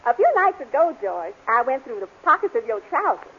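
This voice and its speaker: A gentle, conciliatory wife in a golden-age radio comedy. Her voice is high with a husky edge, and her delivery is folksy. The old recording is dull. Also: very animated, fairly quick, full character voice. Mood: sweet, confiding. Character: sweetly conciliatory wife of the schemer. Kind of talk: 1940s-50s radio comedy acting.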